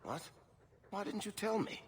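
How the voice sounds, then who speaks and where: An actor voicing a wry, affectionate, roguish adventurer in a video game, with a gravelly voice. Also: male voice, high voice, animated, moderate pace, slight background noise. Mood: mock-wounded fondness.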